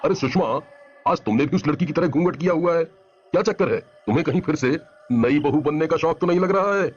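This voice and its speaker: A man's voice: a little high, fairly quick, a little hiss or hum, pitched below the performer's own voice, lightly acted. A man voicing a jovial, teasing husband in a dramatized story. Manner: teasing, chuckling. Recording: dull sound, music underneath.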